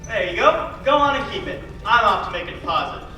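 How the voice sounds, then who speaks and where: A young man playing a flustered, absent-minded older uncle with a halting, theatrical delivery in an old-fashioned radio play. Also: high voice, animated, sharp tone. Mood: gloating, jolly. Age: young adult. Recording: echoey live stage, far mic, faint music.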